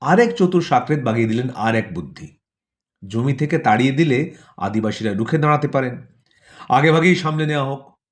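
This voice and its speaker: A man's voice: mid-range voice, expressive. Man narrating a satirical tale. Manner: rhetorical, measured phrasing with repetition and listed words. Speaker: narrator of an audio play. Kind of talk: scripted satirical storytelling narration.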